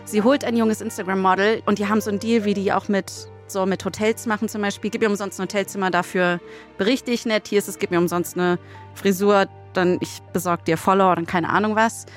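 A woman casually pitching a story as a co-host, setting the scene in colloquial language. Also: fairly even intonation, quick pace, soft background music. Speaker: co-host pitching a crime audio drama story.

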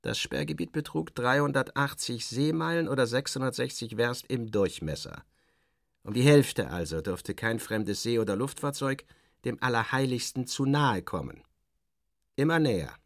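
A man's voice: mid-range voice, normal speed, vocal fry, no echo, studio quality, natural voice. An actor playing a sardonic, self-assured journalist, narrating his travels in a literary radio drama with wry, theatrical, clipped phrasing. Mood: observant. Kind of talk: literary first-person narration, dramatic audio reading.

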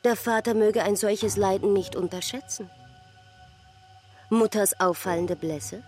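An actress playing an earnest, concerned figure in a literary radio play, with slow and deliberate delivery. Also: female voice, mid-range voice, darkish tone, lightly acted. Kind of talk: dramatised literary audio play. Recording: music bed under the voice. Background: music.

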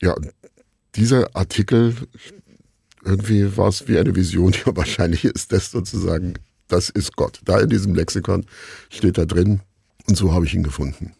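A middle-aged man being interviewed as a radio drama maker, telling stories in a musing, halting, self-deprecating conversational way. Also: low voice, vocal fry, mellow, husky, no echo, studio quality. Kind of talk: reflective conversational storytelling in an interview.

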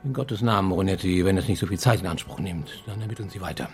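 An actor playing a pompous, irritable senior police chief in a radio crime drama, haughty and emphatic. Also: male voice, low-pitched, fast talker, soft background music. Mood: grudging. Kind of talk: German radio play acting.

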